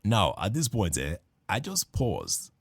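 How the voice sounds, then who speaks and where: A man tells a comic story in a low voice that swings wildly in pitch. He acts out each part with playful energy. The mic is dry and close, with faint music underneath. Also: measured pace, vocal fry, breathy edge, no room sound. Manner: animated, playful, acts out dialogue. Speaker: podcast host.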